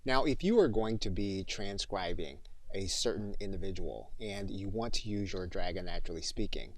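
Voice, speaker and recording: A man's voice: low voice, expressive, normal speed, no echo. A man narrating an instructional screencast as a trainer, explaining things step by step.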